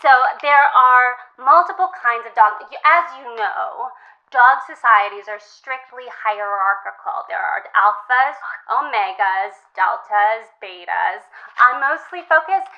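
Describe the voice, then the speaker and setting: A woman playing an earnest, boy-crazy sixteen-year-old high school girl in a comedy call-in, chatting casually with plenty of filler. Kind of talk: improvised comedy call-in interview. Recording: dull, lo-fi sound.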